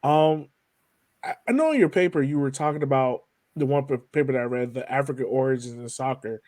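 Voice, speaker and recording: A man's voice: medium pitch, brisk, darkish tone, no room sound. A man hosts a podcast in a casual, chatty way, with a mid-range voice. He talks to his guest informally, like a friend, in a conversational interview style. The sound is very dry, with hardly any room to it.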